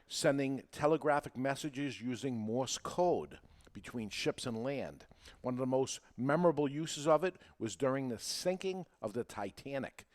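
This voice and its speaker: Emphatic man hosting an instructional podcast. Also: mid-range voice. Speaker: podcast host and marketing coach. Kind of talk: animated instructional monologue to listeners.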